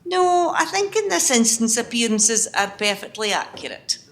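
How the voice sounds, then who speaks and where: Woman acting a feisty, very animated woman. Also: on the high side. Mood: scolding. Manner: brisk, incredulous, scolding. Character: feisty, sceptical, kind-hearted working woman on day off. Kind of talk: amateur radio play acting.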